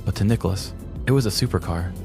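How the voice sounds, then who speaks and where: A man co-hosting a podcast, telling a scripted comedic story in a deliberate, mock-grandiose way. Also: low-pitched, creaky, over music. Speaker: podcast co-host.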